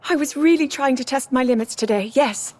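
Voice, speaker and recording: A woman's voice: high-pitched, brisk, a little mellow. A woman voicing an earnest, dutiful young princess in a dramatic video game, formal and respectful. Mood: earnest, determined.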